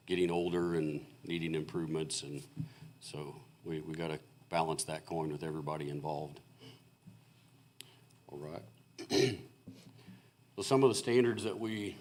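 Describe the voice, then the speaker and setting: A man presenting as a consulting engineer at a city board meeting, explaining in a halting way with many fillers while he is losing his voice. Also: sits low, slight background noise. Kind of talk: technical presentation at a public meeting. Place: city council meeting room.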